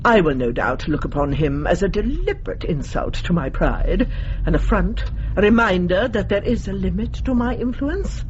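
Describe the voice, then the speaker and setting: An actor playing a courtly, proud older man in a radio comedy drama, speaking in a measured, formal and gently condescending way. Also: sits high, lively intonation. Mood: gently reproachful. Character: courtly, proud older man fond of his lady friend. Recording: dull, noisy radio drama recording.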